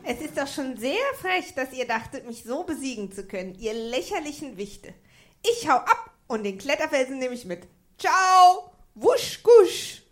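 A woman's voice: sits high, very animated, measured pace. A woman playing a haughty, taunting witch in a comedic audio play. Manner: taunting, theatrical. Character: mocking, haughty witch who casts spells.

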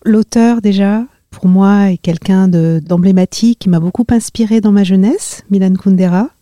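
A woman in her fifties from the Paris region, a podcast guest talking hesitantly with many pauses and fillers. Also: mid-range voice, warm tone, dry recording, studio quality. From Paris region, France. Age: 50s. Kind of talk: podcast interview.

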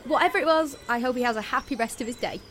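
An actress playing a chirpy, compliant young citizen of a forced-happy society in an audio drama. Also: female voice, fairly high pitch, rapid-fire, a bit bright, slight background noise, natural voice. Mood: chirpy, uneasy.

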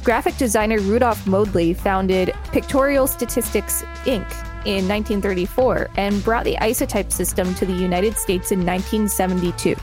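A woman's voice: medium pitch, moderate pace, hardly any pauses, over music. A woman co-hosting a podcast, reading an encyclopedia article aloud. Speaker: podcast co-host and reader.

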